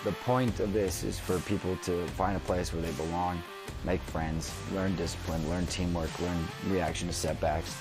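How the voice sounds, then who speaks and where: A man speaks in an emphatic, rallying way, his voice a little low. Clear music plays behind him. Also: little pitch movement, moderate pace.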